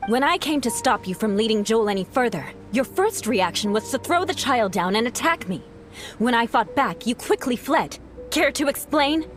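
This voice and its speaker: A woman's voice: on the high side, animated, moderate pace, faint music behind, close to an everyday voice. A woman playing a proud, stern young knight in an anime game, formal and sharply interrogating. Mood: accusing.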